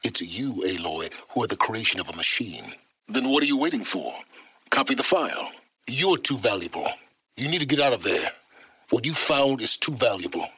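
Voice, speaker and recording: A man's voice: medium pitch, sing-song, moderate pace, sharp tone, husky, no room sound, full character voice. An actor playing a secretive, learned middle-aged man in a video game, imperious and condescending, with formal, precise diction. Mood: cool, explanatory. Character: secretive, learned older male wanderer, imperious and impatient. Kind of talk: video game character dialogue.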